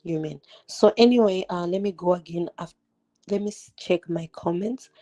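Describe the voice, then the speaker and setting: A woman's voice: fairly low pitch, moderate pace, warm tone, faint music behind. A woman in her twenties from Zimbabwe, for whom English is not her first language, chatting directly to viewers on a livestream from her kitchen while cooking. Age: 20s. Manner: chatty, direct, rhetorical questions, many "like" fillers; multitasking, cooking while talking. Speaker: YouTuber hosting a livestream. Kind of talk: casual livestream chat. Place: home kitchen. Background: fireworks outside.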